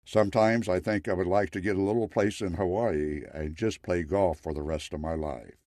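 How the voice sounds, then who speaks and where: A man voicing a weary, wise Zen temple master in a story, matter-of-fact and deliberate. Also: low-pitched, darkish tone, no echo, studio quality, close to an everyday voice. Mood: weary, candid. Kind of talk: storytelling character quote.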